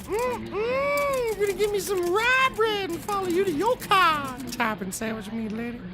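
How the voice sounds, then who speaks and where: A man's voice: very high-pitched, expressive, unhurried, loud music behind the voice. A man voicing a giddy, fawning cannibal hobo in a scripted comedy, cooing and drawing out his words with gleeful menace. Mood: sly, hungry. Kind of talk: scripted audio comedy courtroom acting.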